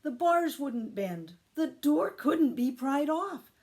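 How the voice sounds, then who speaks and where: Lady doing kids storytime read-aloud, voice on the higher side, really stresses words. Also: big pitch swings, slow, a little mellow. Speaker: storytime reader, teacher.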